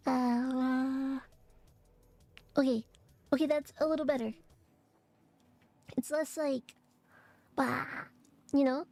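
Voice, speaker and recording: A young woman streamer chatting casually and rambling with fillers, her voice a little hoarse and raspy from allergies. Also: sits high, fairly even intonation, slow, pauses often, a little mellow, no echo, soft background music. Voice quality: raspy, phlegmy throat. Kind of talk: casual chat to stream viewers.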